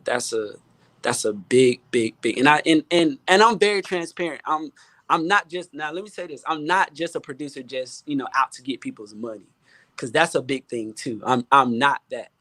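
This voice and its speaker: Man, mid-pitched voice, dry close sound, earnest, emphatic, repetitive phrasing, impassioned panel talk. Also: steady tone, normal speed, fairly bright tone, no echo. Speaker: music producer, guest. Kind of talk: impassioned, preaching-like panel chat.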